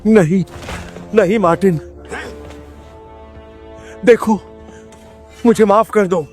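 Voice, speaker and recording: A desperate older businessman pleads in a high-pitched voice. He is full of remorse, in the style of a dubbed video game, with music underneath. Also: steady tone, slow pace, darkish tone. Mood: desperate pleading.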